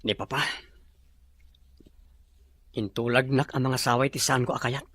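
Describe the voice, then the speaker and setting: A man playing a wealthy young father in an Ilocano drama, speaking gently and persuasively to his family. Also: mid-range voice, steady tone, unhurried, slightly rough, no echo. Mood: earnest. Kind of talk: Ilocano radio-style drama acting.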